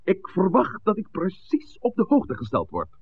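A stern village priest in an old radio drama, formal, really swoopy intonation, dull hissy recording. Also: male voice, sits high, wildly swooping pitch, exaggerated voice. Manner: measured, formal, admonishing. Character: authoritative village priest, composed, stern peacemaker. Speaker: pastor. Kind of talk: 1960s radio drama acting.

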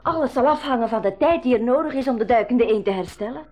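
Radio drama: young Flemish sister, upbeat and expressive, higher-pitched voice. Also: female voice, fast. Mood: concerned. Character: young, optimistic sister on a desert expedition. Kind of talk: 1980s school radio drama acting.

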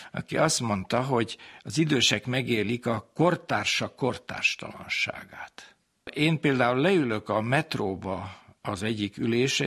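A man speaking in a measured, explanatory way in a reflective discussion, with a gravelly, husky voice. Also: medium pitch, fairly bright tone.

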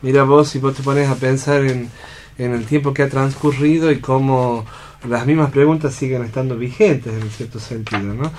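An Argentine man reflecting aloud as a radio panelist, halting as he thinks and often checking for agreement. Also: mid-range voice, steady tone, a little mellow, a little hiss or hum. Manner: thoughtful, halting, seeks agreement with tag questions. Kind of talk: reflective unscripted discussion.

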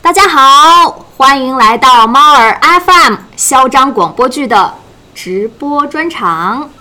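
A woman hosts a live show with a bubbly, cheering manner. Her voice is high and sharply bright, and her intonation swings widely as she addresses the audience and her guests. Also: lively intonation, takes it slowly. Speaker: host of a live fan event. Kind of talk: lively live-show hosting.

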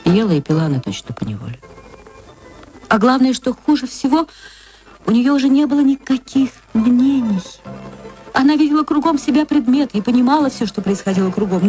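An actress reading a short story for radio, in expressive dramatic literary narration with drawn-out words. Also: female voice, fairly high pitch, faint music behind, poor audio.

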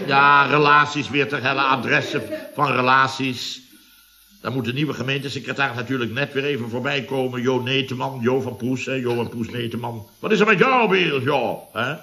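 An actor playing a grumbling, exasperated boss of a small building firm in a comic radio play, rambling sarcastically. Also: male voice, mid-range voice, moderate pace, pushed and bright. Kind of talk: comedic radio play acting.

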